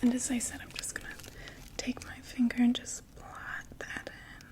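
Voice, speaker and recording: A woman in an ASMR roleplay voicing a chatty undead female vampire makeup saleswoman, whispering softly and reassuringly. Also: on the high side, completely flat delivery, normal speed, close to an everyday voice. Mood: soothing. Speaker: ASMR performer.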